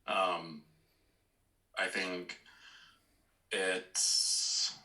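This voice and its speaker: A man with a very low, strikingly crisp and bright voice speaks as a podcast panelist. He is casual but measured and hesitant, recorded in an echoey room. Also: flat delivery.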